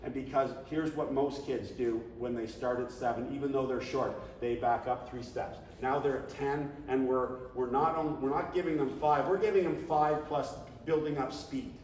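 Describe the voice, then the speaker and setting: A man leading a coaching clinic, talking in an animated, conversational way and now and then shouting coaching calls. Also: mid-range voice, normal speed, hardly any pauses, a little hiss or hum. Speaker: flag football coach. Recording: echoey hall, distant mic.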